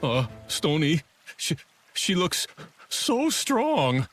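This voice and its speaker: An actor playing a timid, slow-witted henchman in a video game, hesitant and stammering. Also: on the high side, very animated, a bit bright, faint music behind, heavily acted. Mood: nervous. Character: timid dim-witted nervous male henchman. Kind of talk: theatrical game cutscene voice acting.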